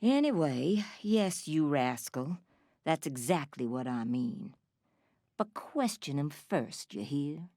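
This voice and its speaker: Brash sheriff for a game, deep bossy drawl, close dry sound. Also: female voice, big pitch swings, slow, mellow, dry recording, heavily acted. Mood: sardonic. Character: tough folksy woman sheriff, brash, weary, commanding. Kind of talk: video game voice acting.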